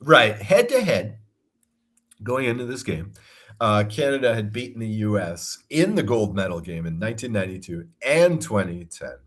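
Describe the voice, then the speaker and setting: A man's voice: on the low side, very animated, normal speed. An American man co-hosting a sports podcast, chatting casually and rambling through stories with frequent chuckles. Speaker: podcast co-host.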